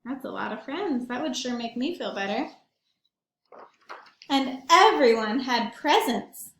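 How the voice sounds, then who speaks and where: High-voiced woman reading aloud to children, roomy echo. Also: measured pace. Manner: animated, emphatic, questioning the listeners. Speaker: read-aloud storyteller for young children. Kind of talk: expressive picture-book read-aloud with asides to children.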